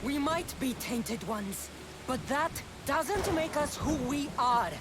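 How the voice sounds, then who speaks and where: A woman's voice: high voice, animated, slow, very noisy. An actress playing a compassionate but firm woman warrior, earnest and persuasive, in a video game cutscene. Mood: earnest, reassuring. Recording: loud rainstorm and thunder behind. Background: heavy rain, thunder.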